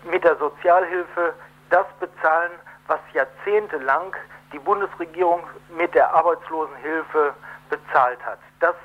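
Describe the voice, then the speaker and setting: Higher-voiced man on a muffled phone line. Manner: halting, emphatic, rephrasing as he goes. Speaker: protest co-organiser, interview guest. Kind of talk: live phone interview. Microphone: telephone. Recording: muffled telephone line on radio.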